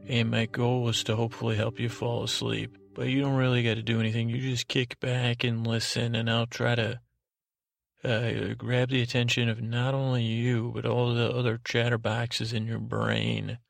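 A man hosting a podcast, delivering a rambling, whimsical bedtime monologue in a hesitant, deadpan way, often correcting himself and trailing off. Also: mid-range voice, normal speed, gravelly. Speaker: podcast host.

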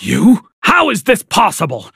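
A man's voice: high-pitched, wildly swooping pitch, unhurried, creaky, fairly bright tone, soft background music, pitched above the performer's own voice, full character voice. A man voicing a hot-tempered, snobbish father who runs a hotel, indignant and demanding, in a dramatised story. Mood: furious.

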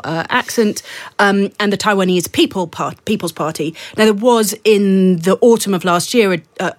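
A woman on a political talk podcast who lays out her points in long, analytical stretches. Her voice sits a touch low for a woman. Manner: analytical, explains at length. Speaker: guest commentator.

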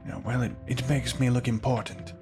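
A man's voice: medium pitch, level tone, normal speed, close to an everyday voice. A man acting in a comedy audio drama as a meek, bumbling, pampered prince, sheepish and hesitant with prissy formal phrasing. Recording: long reverb under loud music.

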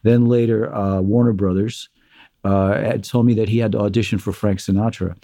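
A man being interviewed about his life as a musician, telling lively stories and slipping into the voices of the people he quotes. His tone is dark and mellow. The sound is dry and close. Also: fairly low pitch, moderate pace, dry recording. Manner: animated storyteller, acts out quotes, many fillers. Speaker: guest, musician. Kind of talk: conversational interview storytelling.

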